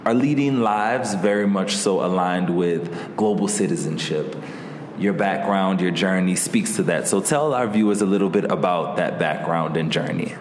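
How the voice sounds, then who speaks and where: A man's voice: deep voice, moderate pace, slight background noise. A man co-hosting a podcast, introducing the guest in a formal, announcer-like way and asking her questions. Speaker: podcast co-host.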